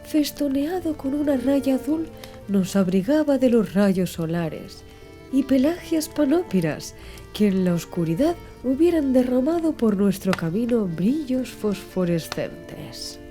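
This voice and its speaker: A woman reads a literary story as an audiobook narrator. Her voice sits a little high yet is extremely soft and mellow in tone. She delivers the scripted prose in a measured, expressive way, with music playing underneath.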